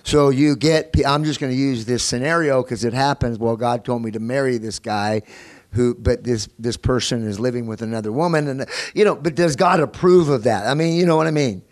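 Man, pastor, teaching sermon, conversational, laughs often, mid pitch. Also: a little fast. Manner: chatty, laughs often, repeats words.

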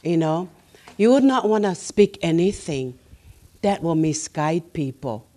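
A woman's voice: on the low side, lively intonation, measured pace, mellow. A woman, the pastor's wife, teaching beside him in an earnest, exhorting way. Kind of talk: teaching sermon.

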